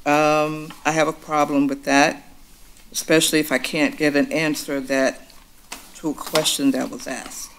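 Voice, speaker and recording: A woman's voice: deep voice, flat delivery, unhurried. Woman at a board meeting, defensive short answers, slight noise behind. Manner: defensive, clipped replies. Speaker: school board member.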